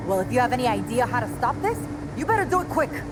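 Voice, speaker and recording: An actress playing a brusque, exasperated, no-nonsense ally in a video game cutscene. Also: female voice, fairly high pitch, very animated, quick pace, noisy background.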